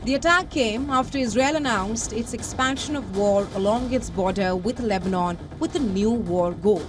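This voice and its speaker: A woman reading a scripted news report in a formal, measured delivery. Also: mid-range voice. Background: music bed.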